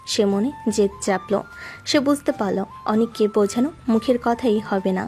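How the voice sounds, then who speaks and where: Female narrator, slightly high pitch, scripted dramatic storytelling, light music underneath. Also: darkish tone. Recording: music behind the voice.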